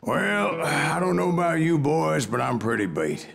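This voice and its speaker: An actor playing a weathered older gunslinger with an American Western drawl, telling his tales of revenge in a wry, deliberate way for a video game. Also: on the high side, very animated, a bit bright, full character voice. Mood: wry storytelling. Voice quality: gravelly. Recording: music behind the voice.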